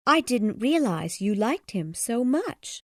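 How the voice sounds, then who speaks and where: A woman's voice: a little high, big pitch swings, slow, no echo, clean studio sound, voice pushed up, heavily acted. A woman voicing a timid, uneasy young female friend in a read-aloud story, in a slightly raised voice.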